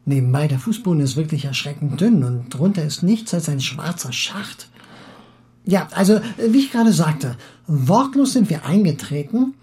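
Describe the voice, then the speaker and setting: An excitable, garrulous Russian émigré husband, acted by a man for an audiobook. His mellow, soft-edged voice swings widely in pitch. He philosophizes eagerly and sometimes stalls as he hunts for a word. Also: natural voice. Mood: musing, talkative. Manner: garrulous, halting when searching for words.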